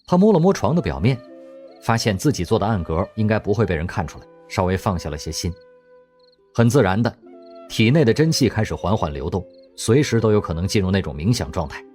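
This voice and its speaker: A man narrating an audio drama, telling the story expressively in a husky voice. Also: fairly low pitch, very animated, fairly quick, darkish tone, soft background music.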